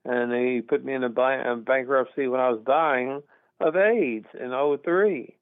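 A man's voice: medium pitch, unhurried, dry recording. A middle-aged man from Texas, calling in to a radio talk show and speaking in an earnest, emphatic, rambling way. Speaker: caller. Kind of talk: call-in radio conversation. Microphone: telephone. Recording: tinny phone line, dry.

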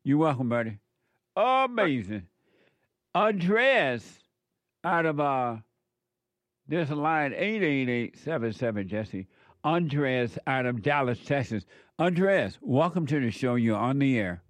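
A man's voice: mid-range voice, expressive, takes it slowly, dry recording. A man hosting a call-in radio talk show who speaks in a blunt, casual way.

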